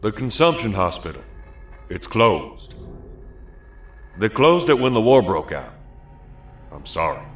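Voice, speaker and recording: A hard, curt man of few words from an audio drama, acted by a man. He speaks slowly with swinging pitch, in a roomy space with faint music underneath. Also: very animated, fairly bright tone. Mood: flat, curt. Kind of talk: dramatized audio story dialogue.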